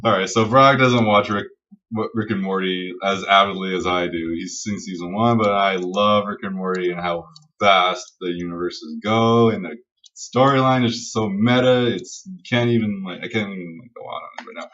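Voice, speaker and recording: A man's voice: low voice, moderate pace, a bit bright. A man co-hosting a casual geek-culture podcast, enthusiastic and rambling, swearing freely as he talks. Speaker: podcast co-host. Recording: dull lo-fi audio, distant from mic.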